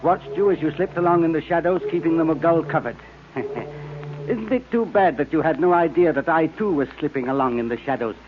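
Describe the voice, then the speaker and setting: A man playing a smug, mercenary crook in a 1940s radio serial, speaking in a measured, self-satisfied way. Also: a little high, animated, music in the background, lightly acted. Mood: gloating. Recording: muffled, faintly noisy old radio recording.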